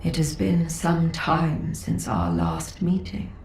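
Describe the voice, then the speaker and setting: An actress voicing an ailing, dignified grand master of a sci-fi council in a video game, frail and breathy, with formal, halting speech. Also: female voice, low voice, big pitch swings, darkish tone, reverberant, slight background noise, exaggerated voice. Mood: grave, weary. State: unwell, coughing. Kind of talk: video game story dialogue.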